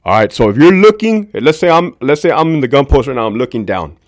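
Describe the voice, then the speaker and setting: A former prison officer with a deep voice hosting a casual livestream, talking candidly and profanely to his viewers. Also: very animated, quick pace, no room sound, clean studio sound. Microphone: desk mic.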